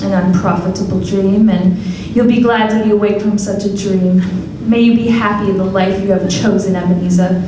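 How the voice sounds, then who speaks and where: A woman's voice: mid-range voice, fairly even intonation, noisy background, close to an everyday voice. Young woman breaking it off acted by a woman, sorrowful, far mic, big echo. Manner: measured, sorrowful. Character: Belle, young woman, sad and resolute. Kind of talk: live radio play acting. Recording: echoey hall recording from far off.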